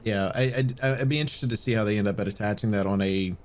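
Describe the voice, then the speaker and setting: A man's voice: on the low side, fast. A man co-hosting a podcast, talking casually and conversationally with the rest of a panel. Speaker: podcast co-host.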